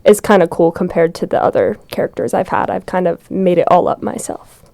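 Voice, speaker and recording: A teenage girl, a student actor, describing her character in a relaxed way with some fillers. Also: on the low side, a little fast, vocal fry. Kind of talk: casual interview answers.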